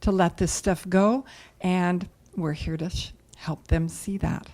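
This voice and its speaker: A woman's voice: lowish voice, fairly even intonation, a little slow, a little mellow, a little hiss or hum. A woman from the Seattle area, a volunteer practitioner, speaking with enthusiasm and frequent ums. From Seattle area, United States. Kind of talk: casual personal testimony.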